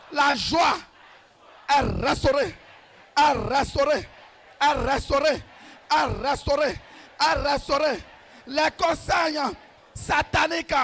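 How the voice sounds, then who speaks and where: High, shouting gravelly male prayer leader. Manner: shouts short repeated declarations; commanding, rhythmic.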